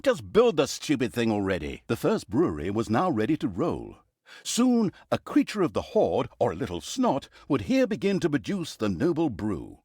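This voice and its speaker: A man's voice: mid-range voice, wildly swooping pitch, moderate pace, darkish tone, slightly rough, no echo, studio quality. A man playing a sarcastic, easily exasperated fantasy storyteller in a video game, with theatrical, mock-grandiose comic narration. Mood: exasperated, scolding. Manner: wry, mock-grandiose, emphatic.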